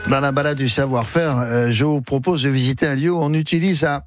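A man's voice: mid-range voice, steady tone, brisk, over loud music. A man hosting a radio show, chatting and teasing his guests with many hesitations. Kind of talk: relaxed studio radio chat. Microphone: studio microphone.

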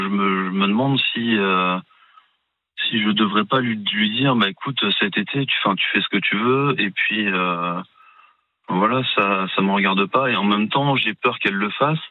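A forty-year-old man from Cherbourg with a light Norman French accent, calling in to a radio show and talking haltingly, full of fillers. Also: lowish voice, no echo. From Cherbourg, France. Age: 40s. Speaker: radio caller. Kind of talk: phone-in chat. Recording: tinny phone line.

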